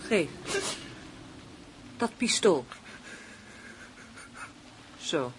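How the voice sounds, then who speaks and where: An actress playing a proud, dominant, strong-willed woman in a Dutch radio play, speaking in a measured, deliberate way. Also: lowish voice, big pitch swings, heavily acted. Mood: defiant. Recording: noisy old radio play recording.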